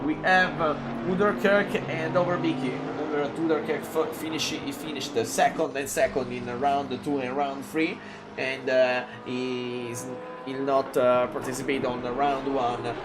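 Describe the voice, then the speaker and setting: A man from Italy with an Italian accent, calling a live sim race in halting English with many fillers and excited jumps. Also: medium pitch, background noise. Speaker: race commentator. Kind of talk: live sim racing commentary.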